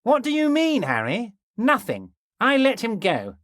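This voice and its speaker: A man voicing a scheming, smarmy middle-aged union boss in a video game, ingratiating and slow with oily reassurances that carry a veiled threat. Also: very high voice, wildly swooping pitch, a bit bright, dry recording, studio quality, heavily acted. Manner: ingratiating, keeps repeating the listener's name. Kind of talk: recorded game dialogue lines.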